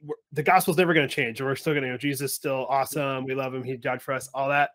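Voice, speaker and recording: A young man hosting a podcast over a video call, chatting casually and telling stories with lively acted-out voices. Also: mid-range voice, fast. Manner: animated storyteller, acts out voices in anecdotes. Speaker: podcast host. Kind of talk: casual interview chat. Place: home or office, video call.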